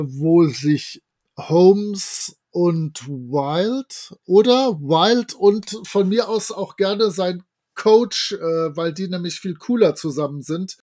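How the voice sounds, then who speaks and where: A man's voice: high-pitched, warm tone. A man, co-hosting a podcast in casual chat with a playful, tongue-in-cheek manner. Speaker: podcast co-host. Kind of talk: casual chat reviewing an audio drama.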